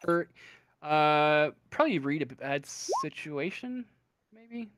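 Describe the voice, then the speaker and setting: A man acting as game master, improvising narration for a tabletop role-playing game in a halting way, thinking aloud. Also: a little high, big pitch swings, fairly bright tone.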